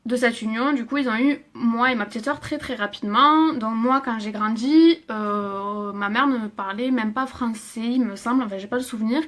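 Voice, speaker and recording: A woman's voice: on the high side, moderate pace, hardly any pauses, a bit bright. Hesitant young Frenchwoman from Toulouse. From France. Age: 20s. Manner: hesitant, many fillers, laughs and reads viewer comments. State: emotional, near tears. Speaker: livestreamer. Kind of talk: personal story on a live stream.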